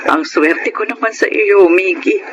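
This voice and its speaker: A woman's voice: mid-range voice, unhurried, highs cut off, noisy background, natural voice. An actress playing a grateful, devoted older wife and mother in a radio drama, speaking with earnest sentiment. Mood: tender, grateful. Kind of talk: radio drama acting and first-person narration. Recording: radio drama under loud music.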